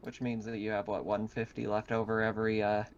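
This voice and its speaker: A man's voice: fairly low pitch, monotone, brisk. A man acting as game master in casual chat, explaining things and joking along. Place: online voice call. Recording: dull lo-fi voice chat.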